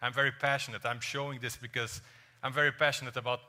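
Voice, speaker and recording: Young guy giving a keynote, super bright tense voice, big hall echo. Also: mid-range voice, fast. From Portugal (Azores). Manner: animated, storytelling, asks the crowd questions. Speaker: keynote speaker. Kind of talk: energetic conference talk. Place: large dark stage. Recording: hall reverb on stage.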